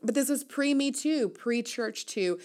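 A woman's voice: a little high, slow pace, darkish tone. A woman in her thirties reading a first-person essay aloud as host, candid and reflective, with wry asides. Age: 30s. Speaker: newsletter writer and host reading an audio essay.

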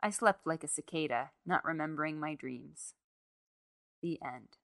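A woman's voice: low voice, measured pace, creaky, fairly bright tone, dry recording. A woman reading a literary short story aloud as scripted narration.

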